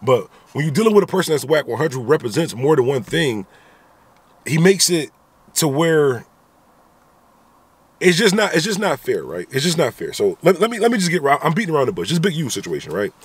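A man's voice: on the high side, big pitch swings, a little fast, breathy edge, no room sound. A man in his thirties talking animatedly into a phone camera inside a car, giving his opinions in a vlog with repeated rhetorical asides. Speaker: vlogger. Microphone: phone camera at arm's length.

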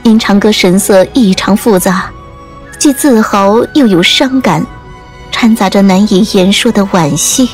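A woman's voice: mid-range voice, unhurried. A woman reading scripted audiobook narration as the storyteller. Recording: music under the voice.

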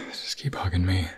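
A man playing an anxious, tender young vampire fiancé in an intimate ASMR roleplay, halting and emotional, often dropping into a breathy whisper. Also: deep voice, full character voice. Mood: tense, soothing.

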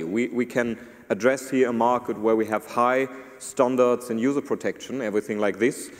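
A man's voice: mid-range voice, darkish tone. A man speaking informally and anecdotally as a panelist at a conference, in loose phrasing. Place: large conference hall stage, indoors. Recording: reverberant hall.

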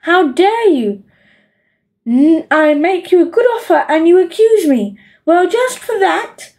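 A woman's voice: very high voice, expressive, unhurried, a little mellow, voice pushed up, full character voice. A woman voicing a horrid, defiant young boy in a children's storybook, jeering and scheming. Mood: indignant. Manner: jeering, shrieking, taunting.

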